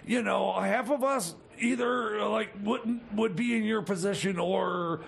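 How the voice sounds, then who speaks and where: Guy doing a flustered jailbird mechanic, super croaky high voice, keeps stammering and rambling, comedy radio bit. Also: unhurried, a little hiss or hum, exaggerated voice. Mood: rambling, conspiratorial. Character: Jeb, rambling, flustered jailed male time machine mechanic. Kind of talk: improvised comedy radio theater.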